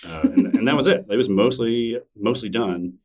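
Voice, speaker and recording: A man's voice: medium pitch, moderate pace, a little mellow, far from the mic. A man answering interview questions in a casual, enthusiastic way, laughing easily as he talks. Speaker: podcast guest, newsletter creator.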